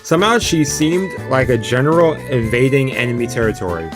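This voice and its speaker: A man narrates a visual novel for a let's play at an ordinary mid pitch. He slips into casual asides and trips over long words now and then. Music plays behind his voice. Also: lively intonation, a little fast. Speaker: streamer reading a visual novel aloud. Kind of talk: let's play commentary and read-aloud narration. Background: video game music.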